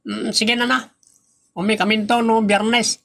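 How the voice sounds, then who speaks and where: An actress playing an evasive hired worker in a comedy audio drama. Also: female voice, on the high side, level tone, unhurried, creaky, fairly bright tone.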